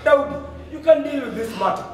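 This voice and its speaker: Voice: high-pitched, fairly quick, natural voice. An actor playing a wealthy, stern, busy father in a stage play, speaking formal, emphatic English with a Kenyan accent. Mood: indignant. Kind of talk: loud declamatory stage play delivery. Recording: echoey hall, distant mic, noisy ambience.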